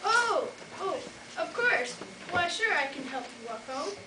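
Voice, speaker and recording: A woman's voice: very high voice, very animated, measured pace, heavily acted. A teenage girl playing a kind, gullible, dim-witted male dwarf who stammers, in a school fairytale play. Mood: eager, confused. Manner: stammering, repeating words. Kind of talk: amateur school readers' theater. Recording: echoey noisy hall from far off.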